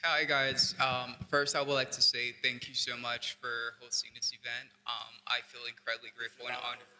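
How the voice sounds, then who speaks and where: A man's voice: mid-range voice, completely flat delivery, moderate pace, bright, forceful tone. A young man from Cameroon asking a panel a question from the audience mic, polite and hesitant with many fillers. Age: young adult. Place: conference hall, audience mic. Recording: reverberant hall.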